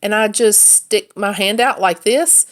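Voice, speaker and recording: A woman's voice: medium pitch, unhurried, natural voice. A woman voicing a playful, curious young female babysitter in a storybook, with a friendly, questioning manner. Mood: incredulous.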